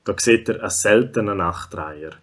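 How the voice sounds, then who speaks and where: A man's voice: lowish voice, brisk, fairly bright tone, close to an everyday voice. A man with a Swiss German accent narrating a scripted children's story.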